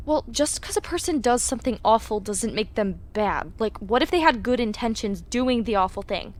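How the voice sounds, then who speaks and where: A woman's voice: on the high side, lively intonation, normal speed, natural voice. A young woman playing a chatty, self-assured teenage girl in an audio drama, with a perky, teasing manner. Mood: pointed, self-righteous. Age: young adult.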